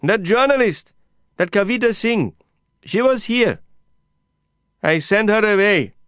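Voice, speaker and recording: A man voicing a proud, desperate male prisoner, pleading loudly and insistently through a fence. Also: sits high, lively intonation, normal speed, clean tone, no echo, clean studio sound, voice pushed up. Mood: defiant. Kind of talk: audiobook character voice.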